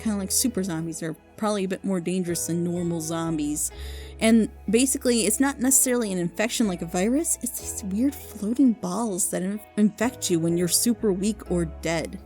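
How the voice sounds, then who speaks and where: A woman's voice: mid-range voice, mellow. A woman giving a casual, unscripted review as a video host, rambling informally and with enthusiasm. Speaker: video host recommending fan audio dramas.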